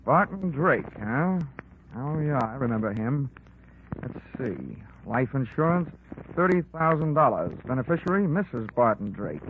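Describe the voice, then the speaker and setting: An actor playing a cocky, wisecracking freelance investigator with a dry, sardonic manner in a 1940s radio drama. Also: male voice, medium pitch, very animated, measured pace, phone-quality sound, noisy background. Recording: thin band-limited 1940s radio recording, music.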